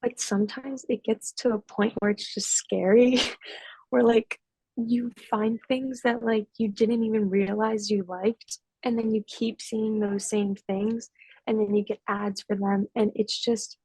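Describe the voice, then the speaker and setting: A woman's voice: mid-range voice, level tone, unhurried, warm tone, breathy edge, no room sound, poor audio. An eighteen-year-old woman talking casually in a student podcast discussion, with lots of "like" fillers. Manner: chatty, many "like" fillers.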